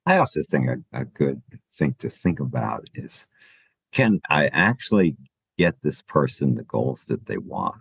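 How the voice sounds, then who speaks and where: A man hosting a podcast, interviewing a guest in a lively, joking way with halting restarts. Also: a bass voice, vocal fry, slightly rough, dry recording. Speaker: podcast host. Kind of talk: conversational interview.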